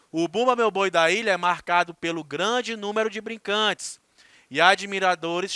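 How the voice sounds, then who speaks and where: A man's voice: sits high, sharp tone, smooth, no room sound. A man teaching a recorded class, explaining things with chatty asides to his students.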